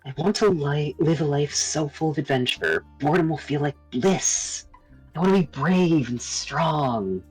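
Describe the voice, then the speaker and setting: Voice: medium pitch, unhurried, darkish tone, breathy edge, faint music behind, poor audio. A performer voicing a wistful young forest ranger in a visual novel, earnest and dreamy, longing for adventure. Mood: yearning.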